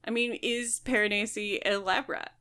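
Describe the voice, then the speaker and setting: Woman, podcast co-host, medium pitch, casual book chat, analytical, reasons out loud. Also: steady tone, moderate pace, clear, resonant tone.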